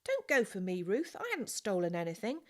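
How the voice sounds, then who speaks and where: A woman's voice: medium pitch, huge pitch swings, fairly quick, voice pushed up. A woman voicing an indignant, scrappy young boy in an audiobook of a children's novel, protesting insistently.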